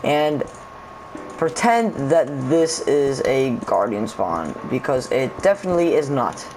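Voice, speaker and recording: A man's voice: mid-range voice, big pitch swings, unhurried, darkish tone, a little hiss or hum, poor audio. A man who is sick, his voice sounding off, casually explaining a game build step by step and counting blocks aloud. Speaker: game tutorial creator. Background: background music.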